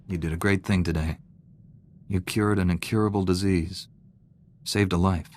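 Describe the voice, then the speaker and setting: An actor playing a principled, serious Jedi knight in a video game, with a deep, measured voice. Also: male voice, steady tone, slight background noise, natural voice. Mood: sincere, calm. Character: earnest, principled, steady male Jedi knight.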